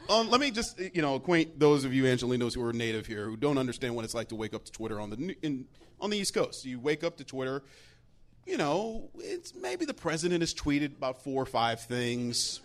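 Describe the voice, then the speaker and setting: A man's voice: medium pitch, very animated, a little fast. An American man telling a humorous story as a guest on a live panel.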